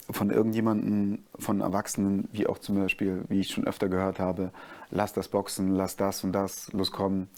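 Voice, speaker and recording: Young guy answering interview questions, lowish voice, pretty monotone. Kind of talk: interview talk.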